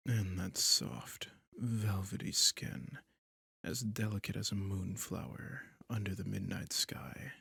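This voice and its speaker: A man voicing a possessive, sadistic male vampire who is cruelly seductive, in an ASMR roleplay, talking slowly and domineeringly in a husky, often whispered voice close to the mic. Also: low-pitched, dry recording, exaggerated voice. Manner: slow, purring, domineering.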